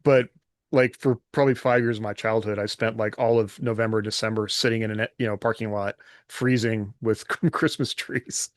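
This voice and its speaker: A man's voice: lowish voice, steady tone, normal speed, dry recording. Candid American man chatting casually, close dry sound. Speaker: podcast co-host, writer. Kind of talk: casual chat.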